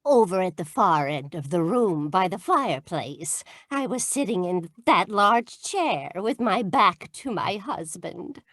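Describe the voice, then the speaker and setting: A woman playing a distressed, indignant wealthy wife in a radio mystery, speaking in a deliberate, dramatic way. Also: on the low side, expressive, a bit bright, no echo, clean studio sound. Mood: shaken, recounting. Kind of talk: 1940s radio mystery acting.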